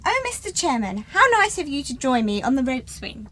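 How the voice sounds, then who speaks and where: A woman's voice: high voice, sing-song, brisk, sharp tone, no room sound, heavily acted. A woman playing a posh, scatterbrained and playful duchess in a radio comedy. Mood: gleefully oblivious.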